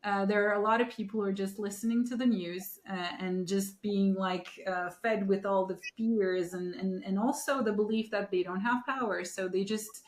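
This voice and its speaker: A woman's voice: medium pitch, fairly even intonation, moderate pace, few pauses. Woman host in an echoey room. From Turkey. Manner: earnest, many fillers and rephrasings. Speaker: podcast host. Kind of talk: conversational interview.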